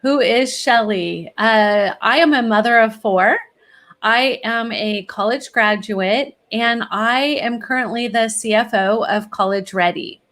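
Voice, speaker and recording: A middle-aged woman from California answers interview questions with steady, encouraging confidence. Her voice sits a little high and stays upbeat and assured. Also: a little slow. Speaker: guest, college planning executive.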